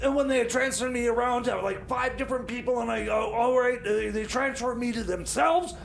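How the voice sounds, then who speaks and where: A frantic time machine mechanic, acted by a man, with a strikingly high, gravelly voice. He stammers and shouts in a flustered rush, as in a comedy radio play. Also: fairly quick, a little hiss or hum.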